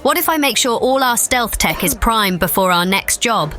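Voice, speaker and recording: A woman's voice: fairly high pitch, expressive, moderate pace, bright, forceful tone, soft background music. A woman playing an eager, resourceful starship tech specialist in a sci-fi audio drama.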